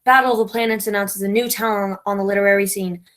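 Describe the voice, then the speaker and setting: A woman's voice: mid-range voice, steady tone, quick pace, a bit bright, natural voice. A woman playing a pompous, bossy radio playwright who thinks herself a genius, speaking in a grandiose, imperious, emphatic way in a theatrical comedy. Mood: grandiose, scheming. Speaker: actress in a stage comedy. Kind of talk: theatrical stage comedy acting.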